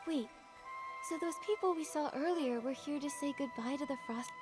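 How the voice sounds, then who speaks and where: A woman's voice: high voice, normal speed, music in the background, close to an everyday voice. A woman playing a kind, curious young heroine in a video game, with an earnest, polite way of speaking. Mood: curious, sympathetic. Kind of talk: scripted video game voice acting.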